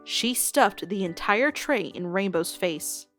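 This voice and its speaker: A woman narrating a scripted story in an expressive storytelling style. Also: mid-range voice, slow. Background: music.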